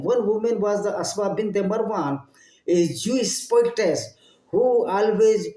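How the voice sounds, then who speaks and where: A man with an Indian English accent and a fairly high but mellow voice delivers a fervent monologue straight to his viewers. His English is halting, and he restarts and repeats phrases as he pushes on. The sound carries a roomy echo. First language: Hindi. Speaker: channel host. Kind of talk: impassioned solo monologue to viewers.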